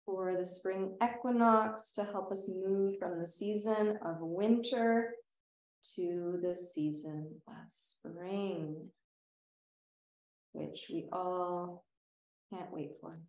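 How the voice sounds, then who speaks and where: Slow, dark-voiced woman guiding meditation. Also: medium pitch, roomy sound, rough recording. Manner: soothing, gentle, unhurried with long pauses. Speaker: yoga nidra meditation teacher. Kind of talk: guided meditation.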